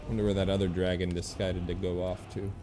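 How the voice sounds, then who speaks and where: A man giving casual, low-key gameplay commentary to himself and his stream viewers. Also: flat delivery, brisk, background noise. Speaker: game livestreamer.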